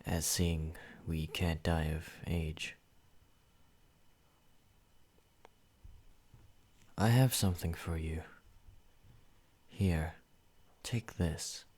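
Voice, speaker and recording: A man voicing a possessive yandere vampire in an ASMR roleplay, speaking softly and intimately, sometimes whispering. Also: sits low. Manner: soft, intimate, slow and controlling, slipping into whispers. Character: possessive male yandere vampire, obsessive and menacing.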